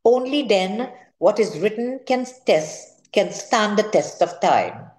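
An older Trinidadian woman reads a prepared speech as an author at a literary gathering. Her delivery is slow and formal, with careful phrasing and a medium-pitched voice. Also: lively intonation, darkish tone. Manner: measured, formal, careful reading. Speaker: author giving a literary talk. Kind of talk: prepared speech read aloud. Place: indoor room at home, seated at a table.

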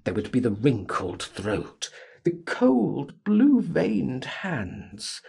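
A man narrating a literary audiobook in a dramatic, theatrical style with deliberate phrasing. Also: mid-range voice, gravelly, darkish tone, husky.

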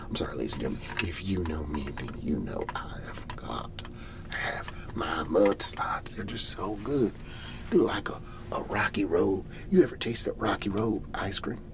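A man playing a folksy, excitable garage-sale salesman in an ASMR roleplay, whispery and breathy, rambling and repeating his hard sell. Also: deep voice, moderate pace. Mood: rambling, indulgent. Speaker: host playing a character.

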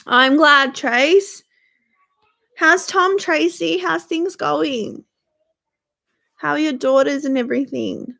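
A young Australian woman chats on a bedroom webcam livestream, greeting viewers by name in a bright, gushing way. Her voice sits high and carries a gravelly fry, slowed by a stuffy sore throat. She talks at an easy, drawn-out pace. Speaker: livestream host. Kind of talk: casual live-stream chat with viewers. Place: small bedroom indoors.

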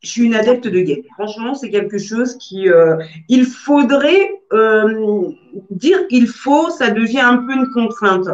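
A woman in her thirties answering interview questions from home over a video call, speaking in a measured way with frequent fillers and restarts. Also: a little high, animated. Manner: measured, hesitant with many fillers. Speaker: guest entrepreneur. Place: room at home, indoors. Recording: dull lo-fi video-call audio.

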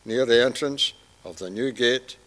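Male scripture reader, mid-range voice, measured phrasing, strong church reverb. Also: mid-range voice, a bit bright. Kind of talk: measured Bible reading aloud. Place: large church hall. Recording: long church hall reverb.

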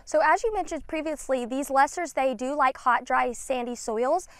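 A woman's voice: high-pitched, normal speed, dry recording. A woman in her twenties, a field specialist explaining a product as an interview guest outdoors. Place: outdoors in an open peanut field. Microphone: clip-on lavalier.

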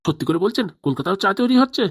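A woman's voice: medium pitch, big pitch swings, racing, breathy edge, dry recording, clean studio sound. A woman voicing a fawning, gullible middle-aged male politician in a cartoon, wordy and deferential. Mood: flattering, puzzled. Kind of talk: amateur cartoon voice acting.

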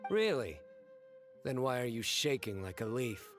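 A cold, stern investigator. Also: male voice, mid-range voice, soft background music. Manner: calm, cold, deliberate deduction. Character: stern young male desert investigator. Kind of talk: video game voice acting.

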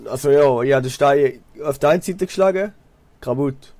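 A young man with a Swiss German accent chats casually while gaming. His voice is mellow and relaxed, recorded dry and close, and he rambles through stories, often repeating himself. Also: mid-range voice, moderate pace, no echo. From Switzerland. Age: young adult. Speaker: let's player, apprentice builder.

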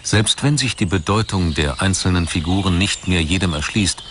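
A man narrating a scripted documentary in a measured, formal way. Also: low-pitched, background noise. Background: music behind the voice.

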